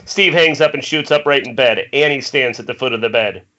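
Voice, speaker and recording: A man's voice: mid-range voice, brisk, sharp tone. A man reading screenplay stage directions as scripted narration over a dull internet call.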